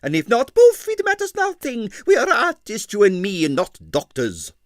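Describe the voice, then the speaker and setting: A man voicing an excitable, flamboyant male ballet impresario in a storybook reading. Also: normal speed, no echo, clean studio sound. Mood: coaxing. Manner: shrill, theatrical outbursts.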